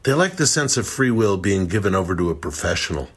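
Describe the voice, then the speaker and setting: Husky, wry private investigator. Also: male voice, sits low, sing-song, quick pace, heavily acted. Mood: wry, reflective. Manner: dry, conversational, musing. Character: wry, world-weary male private investigator. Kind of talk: audio drama interview testimony.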